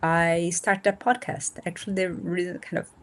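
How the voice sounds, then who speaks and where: A woman in her thirties from Brazil, with Portuguese as her first language, talking casually as a guest on a video call and pausing often to find her words. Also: lowish voice, little pitch movement. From north and northeast Brazil. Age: 30s. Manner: thoughtful, searching for words with fillers. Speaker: guest, nonprofit founder. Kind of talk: casual interview talk. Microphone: remote video call.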